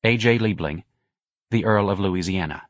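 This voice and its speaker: A male audiobook narrator with a fairly low voice reads literary prose aloud. His delivery is measured and expressive, with articulate phrasing, and the recording is close and dry. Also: no room sound.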